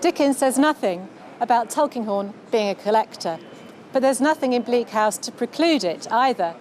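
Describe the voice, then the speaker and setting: A woman author giving a measured, articulate scripted presentation about her own book, speaking outdoors on city streets. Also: fairly high pitch, lively intonation, a little mellow, slight background noise. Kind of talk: scripted promotional narration to camera.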